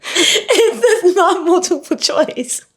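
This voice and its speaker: A woman's voice: very high voice, lively intonation, drawn-out words. A young woman from Atlanta, chatting animatedly as a podcast guest, laughing often and filling her talk with "like". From Atlanta, USA. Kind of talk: casual podcast chat. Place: Japan.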